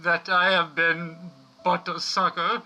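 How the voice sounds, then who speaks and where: A man's voice: sits high, flat delivery, slow pace, bright, forceful tone, exaggerated voice. A man playing a gullible old miser in a parody radio play, hammy and theatrical. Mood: rueful. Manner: overacted, wailing, theatrical.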